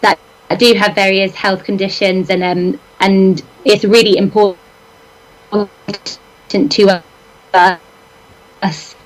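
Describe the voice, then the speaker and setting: A woman's voice: medium pitch, level tone, takes it slowly, long pauses, rough recording. A woman from an arts organisation answering a caller on a live show, thoughtful and speaking with conviction.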